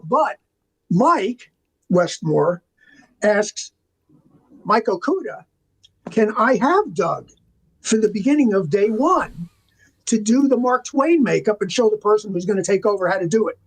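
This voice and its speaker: A man's voice: high-pitched, sing-song, unhurried, pauses often, breathy edge, low-quality recording. An older man chatting casually as an interview guest, telling rambling, enthusiastic stories about his working life. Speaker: interview guest, film makeup artist and art department veteran.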